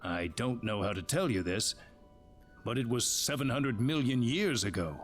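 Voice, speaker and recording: Man playing a serious researcher, expressive pitch. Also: soft background music, lightly acted. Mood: solemn explaining. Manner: measured, expository. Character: serious, knowledgeable researcher man, gently explaining. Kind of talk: video game dialogue acting.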